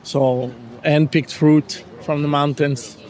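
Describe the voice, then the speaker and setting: A man's voice: fairly high pitch, flat delivery, slow pace, darkish tone. A man, a magazine editor answering questions casually and hesitantly. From Italy. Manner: hesitant, many fillers and restarts. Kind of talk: casual interview.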